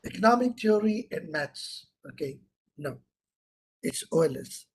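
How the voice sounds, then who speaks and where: A man's voice: on the high side, animated, unhurried, a little hiss or hum. A middle-aged man with an Indian English accent, giving an interactive online lecture, asking questions and repeating key terms for emphasis.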